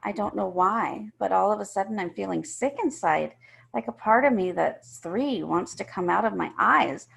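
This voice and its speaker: Female storyteller, mid-pitched and gravelly, reading a children's first-person tale with expressive emphasis. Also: normal speed. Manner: emphatic, acts out the characters.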